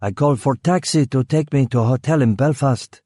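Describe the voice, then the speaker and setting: A man's voice: mid-range voice, fairly quick, dark tone, dry recording, clean studio sound, pitched above the performer's own voice, lightly acted. A man voicing a hitman who poses as a lost foreign driver, with a put-on Eastern European accent and broken English. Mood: feigned helpless. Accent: fake Eastern European. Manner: broken English, feigning bewilderment. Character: killer faking clueless foreign stranded driver, male.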